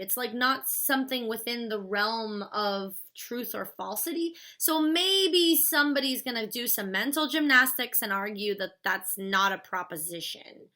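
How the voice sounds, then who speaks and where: A woman's voice: on the high side, pushed and bright. A woman in her thirties explaining a philosophy concept in a casual, chatty classroom way, with "like" and "stuff" as fillers. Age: 30s. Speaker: philosophy lecturer.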